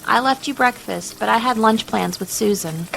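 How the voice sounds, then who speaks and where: An actress playing a dutiful, obliging homemaker in an audio drama, with a creaky voice and accommodating manner. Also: female voice, fairly high pitch, normal speed, a bit bright, a little hiss or hum, low-quality recording. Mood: pleasant, helpful. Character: dutiful, obliging homemaker wife who gardens and cooks.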